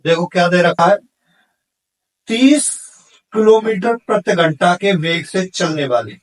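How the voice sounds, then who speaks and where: A man's voice: high voice, expressive, unhurried, distant mic, low-quality recording. A man teaching exam problems step by step, asking questions and answering them himself in an instructional manner. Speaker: physics teacher for exam candidates.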